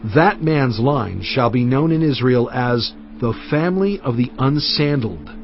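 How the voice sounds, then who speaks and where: A man narrating scripture in a solemn, measured and emphatic dramatized reading. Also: mid-range voice, animated, a little hiss or hum. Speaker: Bible narrator. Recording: dull audio with music bed.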